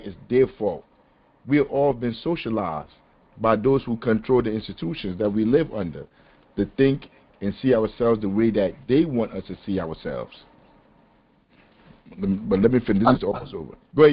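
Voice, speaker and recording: A man hosting a podcast, talking casually and with animated emphasis. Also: mid-range voice, breathy edge. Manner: animated, conversational, rising to exasperated emphasis. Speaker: podcast host. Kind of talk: casual discussion on a call-in podcast. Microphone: phone or conference call. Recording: dull lo-fi call audio.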